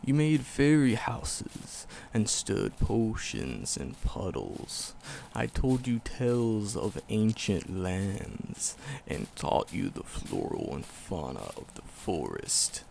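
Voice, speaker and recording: A man voicing an ancient, hulking forest demon, tender and wistful, in a gravelly, poetic, slow-phrased ASMR roleplay. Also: fairly low pitch, big pitch swings, a little mellow, slight background noise, full character voice. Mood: fond, nostalgic. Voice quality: gravelly, growling creak. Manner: slow, poetic, storybook phrasing with dramatic pauses.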